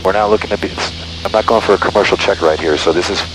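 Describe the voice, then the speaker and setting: A man's voice: sits low, fast. A man flying a small plane, chatting casually and talking himself through each task over a headset. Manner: talks self through tasks. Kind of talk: casual cockpit chat, narrating own flying. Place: small propeller plane cockpit in flight. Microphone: aviation headset. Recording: loud steady aircraft cabin noise.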